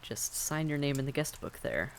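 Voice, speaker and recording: A man's voice: mid-range voice, big pitch swings, moderate pace, gravelly, heavy background noise. A man playing a friendly, reassuring vampire innkeeper in a fantasy audio roleplay, speaking courteously and conversationally to the listener. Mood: businesslike, friendly.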